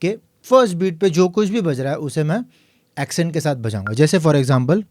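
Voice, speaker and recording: A man teaching a piano lesson, explaining calmly and counting the beats aloud. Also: on the high side, normal speed, a little hiss or hum. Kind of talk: tutorial lesson.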